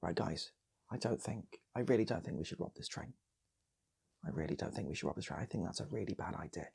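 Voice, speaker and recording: A man's voice: sits low, expressive, fast talker, creaky, darkish tone, slightly rough, voice pushed down, exaggerated voice. A man playing a worried, reluctant voice of reason in a comic story, hesitant and pleading.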